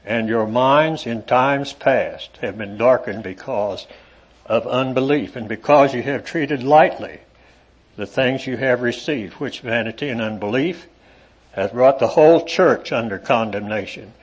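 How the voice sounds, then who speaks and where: A man preaching to a congregation in an evening meeting, reading scripture aloud with rising emphasis and talking with halting fillers in between. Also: medium pitch, husky. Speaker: lay preacher. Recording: dull lo-fi recording.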